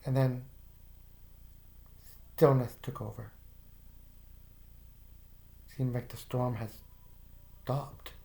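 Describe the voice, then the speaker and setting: A man slowly narrating a guided visualization in the second person, calm and soft-spoken with casual fillers. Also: mid-range voice, gravelly.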